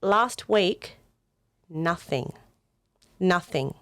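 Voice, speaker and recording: A woman's voice: on the low side, animated, extremely slow, a bit bright, no echo. An Australian woman speaking as a political candidate in an interview, earnest and emphatic, repeating points to stress them. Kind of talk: interview answers.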